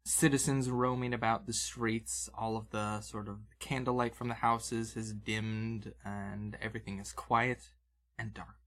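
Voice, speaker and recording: A man's voice: lowish voice, level tone, unhurried, a bit bright. A man working as game master, narrating an improvised tabletop adventure in a conversational, descriptive way. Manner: conversational, descriptive, with vocal sound effects. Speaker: game master, podcast host.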